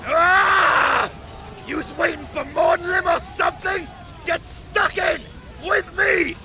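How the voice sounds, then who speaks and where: An actor playing an angry battle-hardened soldier, bellowing and goading his comrades in a gravelly voice in a fantasy audio drama. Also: extremely high pitch, fairly even intonation, takes it slowly, raised voice, music in the background, a crowd in the background. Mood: battle fury.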